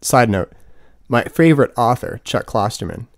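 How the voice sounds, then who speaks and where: A man's voice: mid-range voice, expressive, moderate pace, dry recording. A man in his twenties reading his own humorous book as scripted narration, with wry, dry comic asides. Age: 20s. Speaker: author reading own audiobook.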